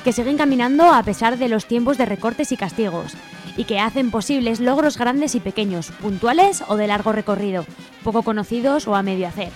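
A woman's voice: fairly high pitch, fairly quick. A woman with an accent from Spain reading scripted narration as co-host of a radio show. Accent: Spain Spanish. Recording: music bed behind the voice. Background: music.

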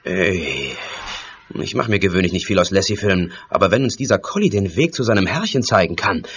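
A man playing a wry, sardonic, quick-witted investigator in a German horror audio drama. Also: deep voice, very animated, fairly bright tone, a little hiss or hum, exaggerated voice. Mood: wry, grim. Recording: dull, muffled audio-drama tape.

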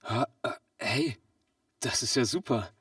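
A man playing a naive, excitable customer in a comic audio play, wide-eyed, puzzled and easily astonished. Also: medium pitch, measured pace, dry recording, studio quality, distant mic. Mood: pleased.

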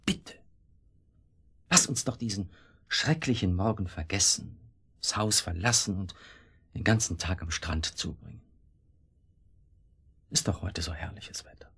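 An actor in a German radio drama playing an evasive, husky-voiced husband who makes excuses to his suspicious wife. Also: on the low side, heavily acted. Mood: pleading.

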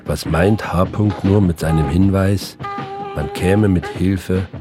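A man's voice: deep voice, steady tone, slow. An actor playing a brooding man reading and pondering in a radio crime drama voice-over. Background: guitar music.

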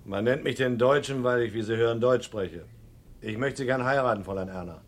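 A man playing a German underworld figure in his forties for a radio play. His voice is mid-pitched, his manner stiff, proud and formal. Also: brisk, lightly acted.

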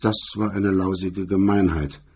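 Man as scornful glamorous actress, very husky, dark, affected, disdainful, noir radio story. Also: sits low, fairly even intonation, a little slow, exaggerated voice. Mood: icy. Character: beautiful, haughty, scornful female film star.

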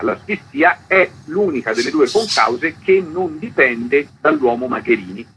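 Dull lo-fi recording of a man explaining. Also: mid-range voice. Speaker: author, interview guest. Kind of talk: spontaneous interview explanation. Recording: dull lo-fi sound, faint background noise.

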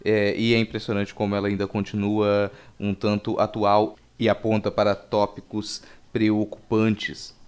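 A man's voice: on the low side, monotone, unhurried, hardly any pauses, slight background noise. A man hosting a podcast, talking informally to listeners with playful asides. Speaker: podcast host. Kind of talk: casual podcast sign-off.

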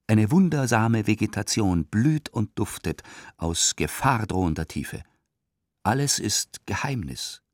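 Male radio-play reader, lowish voice, highly varied melody, theatrical measured delivery of scripted documents. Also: no room sound, clean studio sound. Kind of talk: scripted dramatic reading of historical letters and documents. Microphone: close studio microphone.

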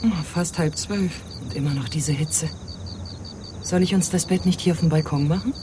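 An actress playing a watchful, mildly sceptical wife on a hot night in naturalistic radio drama dialogue. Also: female voice, low-pitched, moderate pace, background noise, lightly acted. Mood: relaxed, weary. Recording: clear cricket and night ambience. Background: chirping insects at night.

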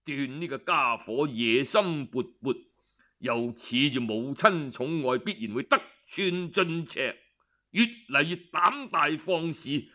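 A man's voice: medium pitch, expressive, takes it slowly, bright, forceful tone, muffled recording, voice pushed down. A man voicing a scheming, haughty feudal lord of ancient China in Cantonese, with cold scorn and sinister calculation.

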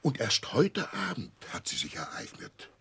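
A simple, rough old seafaring uncle in a 1960s radio play. The voice is deeply husky and a little low, moving slowly and haltingly. It rises and falls widely with proud, tender, overjoyed emphasis. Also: male voice, wildly swooping pitch, bright, forceful tone, full character voice. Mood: overjoyed, excited. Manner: emotional, halting, emphatic storytelling with exclamations.

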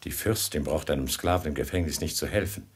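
Radio play prince: very deep, rough-edged, commanding, coldly suspicious. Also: male voice, fast, exaggerated voice. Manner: curt, imperious. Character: stern, jealous Oriental prince, commanding and suspicious. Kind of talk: German radio play acting.

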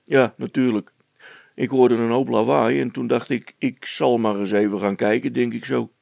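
A blunt, scolding man from a storybook, as a male reader acts him out. His voice has heavy creak and a close, dry sound. He talks colloquially and brushes people off. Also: medium pitch, fairly even intonation, moderate pace, darkish tone, no echo. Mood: dismissive. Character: gruff, bold man scolding a boy.

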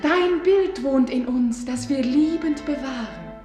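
Seductive female nature spirit, high slow voice, yearning incantation, radio drama. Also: animated, dark tone, reverberant. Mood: yearning, tender. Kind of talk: German radio play acting. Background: music.

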